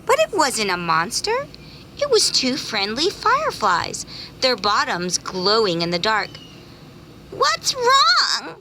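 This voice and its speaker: A woman's voice: on the high side, big pitch swings, unhurried, bright, forceful tone, slight background noise, low-quality recording. A woman reading a children's story aloud expressively, drawing out words dramatically for young listeners.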